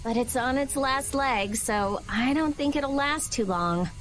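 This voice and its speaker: A woman's voice: sits high, unhurried, soft background music. An American actress playing a brusque, brainy teenage girl mage in an anime game dub, curt and matter-of-fact.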